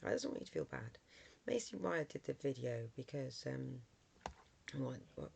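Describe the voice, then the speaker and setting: A middle-aged vlogger with a hoarse voice from a cold, talking casually to camera with many ums and restarts. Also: medium pitch, very animated, fairly quick, a little mellow.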